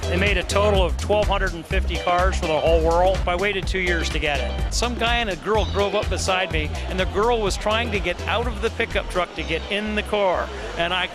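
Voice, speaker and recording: A middle-aged man, a boat racer, answering questions outdoors at a party in a plain, halting way. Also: high-pitched. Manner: plain, halting, adds details as he goes. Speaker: boat racer and car collector guest. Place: outdoor party at an airport hangar at night. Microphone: handheld mic held by the interviewer. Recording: loud party music behind.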